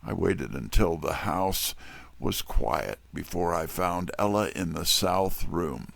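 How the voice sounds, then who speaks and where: A man playing a wry, smooth-talking private detective who narrates an audio drama. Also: low-pitched, takes it slowly, a bit bright, no room sound, full character voice. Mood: wry narration.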